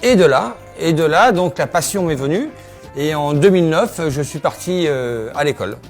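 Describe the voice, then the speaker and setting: A middle-aged man from Normandy, working as a farrier, answering interview questions outdoors at his horse yard in a steady way, often agreeing with "absolutely". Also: on the high side, moderate pace, faint music behind. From Normandy, France.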